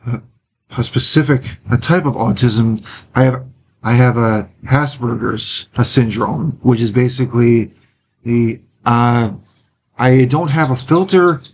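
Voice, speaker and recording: A young man from Wisconsin speaks as an interview guest at a medium pitch. He talks earnestly and haltingly, stopping often to restart his sentences. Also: gravelly, husky. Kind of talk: casual interview talk.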